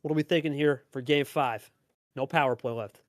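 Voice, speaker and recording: A man's voice: medium pitch, steady tone, a little fast, dry recording, clean studio sound. A man hosting and commentating on a card game match, hyping it up and repeating phrases for emphasis. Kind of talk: live play-by-play commentary and player interviews.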